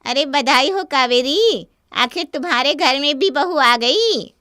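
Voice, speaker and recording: A woman's voice: high voice, expressive, unhurried, bright, forceful tone, no echo, studio quality, full character voice. A woman voicing a gossipy, easily offended neighbour in a cartoon story, speaking pointedly. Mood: congratulatory. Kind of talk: Hindi cartoon story dialogue.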